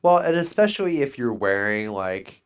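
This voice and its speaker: A man's voice: mid-range voice, big pitch swings, moderate pace, clean tone. A man guesting on a casual interview, rambling enthusiastically and acting out little exchanges. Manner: enthusiastic, rambling, acts out quotes. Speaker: guest, monk class player.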